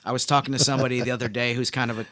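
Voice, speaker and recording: Male team reporter on a podcast, mid-pitched, thinking aloud through casual sports analysis, close dry sound. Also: little pitch movement, rapid-fire, fairly bright tone, no echo.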